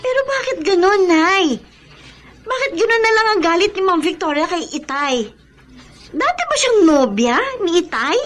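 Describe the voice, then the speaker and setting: A very high-pitched, plaintive young maid. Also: female voice, sing-song, normal speed, a bit bright, faint music behind, exaggerated voice. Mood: puzzled, upset. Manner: pleading, plaintive. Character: meek, mistreated young daughter working as housemaid. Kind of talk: Filipino radio drama acting.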